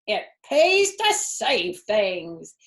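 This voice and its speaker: A woman's voice: mid-range voice, sing-song, very slow, full character voice. A woman voicing a surly, boastful rat who hoards things, in a children's storybook reading. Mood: surly boasting.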